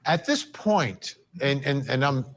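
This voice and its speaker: A man's voice: medium pitch, animated, slow, fairly bright tone. A man interviewing a guest, reading passages from a report aloud and then asking questions. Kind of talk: television interview.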